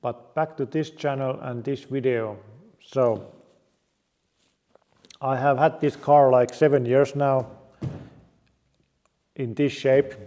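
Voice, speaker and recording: A man from Finland with a Finnish accent, speaking English as a second language, presenting his car project to camera in a plain, halting way. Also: medium pitch, warm tone, long reverb tail. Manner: unhurried, plain-spoken, halting non-native English. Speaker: car restoration vlogger. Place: home garage.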